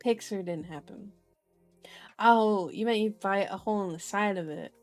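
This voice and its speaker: A woman streamer reads aloud in an ASMR style with faint music behind her. Her voice sits at an average pitch. She is playful and informal, slipping between narration and casual chat and correcting herself as she goes. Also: moderate pace. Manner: playful, informal asides, self-correcting while reading. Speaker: streamer, reader. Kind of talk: ASMR book reading with casual chat to viewers. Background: background music.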